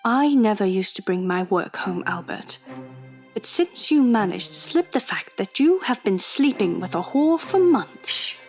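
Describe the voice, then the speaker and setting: Actress doing edwardian woman agent, dry n stubborn, mid pitch, takes her time, radio drama. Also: expressive, unhurried, soft background music, lightly acted. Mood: defiant, dry. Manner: dry, self-assured. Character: defiant, sharp-witted young female undercover agent in Edwardian London. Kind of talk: audio drama acting and narration.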